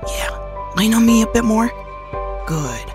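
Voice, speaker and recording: A woman voicing a gentle, encouraging young mutant turtle boy in a fan comic dub, with a casual, reassuring manner. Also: a little high, animated, unhurried, music in the background. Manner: soft-hearted, reassuring, casual.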